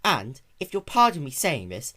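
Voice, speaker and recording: A prim lady pony from a storybook reading, put on by a man. The pitch sits above his own, and the delivery is polite, measured scolding. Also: wildly swooping pitch, pushed and bright, full character voice. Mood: lecturing. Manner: prim, lecturing. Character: Rarity, prim ladylike female pony, gently lecturing.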